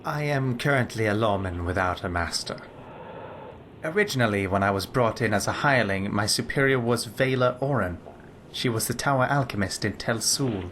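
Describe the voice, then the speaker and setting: A man voicing a composed, scholarly lizard-folk lawman and spellcaster in a game, speaking formally and in measured, articulate phrases. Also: fairly low pitch, fairly bright tone, a little hiss or hum, natural voice. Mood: reflective. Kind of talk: scripted game character dialogue.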